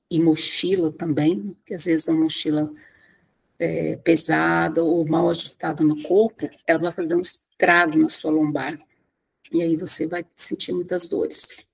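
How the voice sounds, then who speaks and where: A woman from Brazil speaks in a slightly low, husky voice. She gives practical, heartfelt advice in a loose, conversational way, often pausing to restart a phrase or add a quick tag question. It sounds like an informal live talk over a computer mic. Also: a little mellow, poor audio. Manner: earnest, advice-giving, frequent "né?" tags and restarts. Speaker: pilgrim sharing trail tips. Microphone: webcam or computer mic on a live stream.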